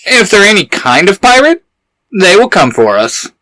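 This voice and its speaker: An actor playing a boisterous, cheerful young pirate captain in an audio drama, loud and brash. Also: medium pitch, very animated, normal speed, no room sound. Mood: brash, excited.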